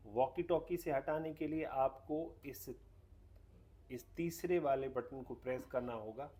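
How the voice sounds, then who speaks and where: A man's voice: medium pitch, measured pace, dark tone. A man giving a casual hands-on product demonstration, explaining step by step and mixing in English technical terms. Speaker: radio reviewer.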